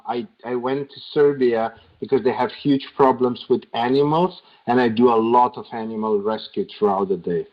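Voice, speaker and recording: A man from Slovenia with a Slovenian accent answers casually as a guest, often repeating words as he explains. His voice is mid-pitched and comes through a dull, lo-fi online call. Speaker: podcast guest.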